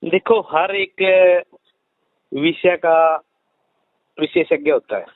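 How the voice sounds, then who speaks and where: A man's voice: a little high, takes it slowly, no room sound. A man giving explanations in a phone interview as an investigator, emphatic and repeating his phrases. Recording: tinny, dry phone-call line.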